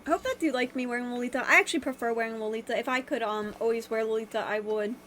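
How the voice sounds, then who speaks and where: A young woman with a high voice and a stuffy, congested nose from a cold rambles casually into the camera in her bedroom. She talks haltingly, with plenty of fillers and restarts. A faint hiss sits under the recording. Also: fairly bright tone. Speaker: vlogger.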